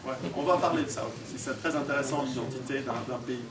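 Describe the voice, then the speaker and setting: A man's voice: medium pitch, fast talker. A man from Switzerland gives an informal talk to an audience, conversational and joking, projecting his voice without a microphone and putting questions to the room. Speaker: guest lecturer and author. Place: indoor hall, recorded at a distance. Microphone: distant camera or recorder, no microphone on speaker. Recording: echoey hall from far off, noisy.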